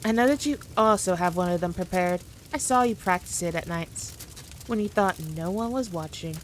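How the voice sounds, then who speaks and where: A woman's voice: on the low side, normal speed, a little hiss or hum, close to an everyday voice, low-quality recording. A woman playing a powerful demon queen in a scripted roleplay, talking down to the listener in a teasing, dominant, condescending way. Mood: mocking. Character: haughty, playful, all-powerful female demon queen.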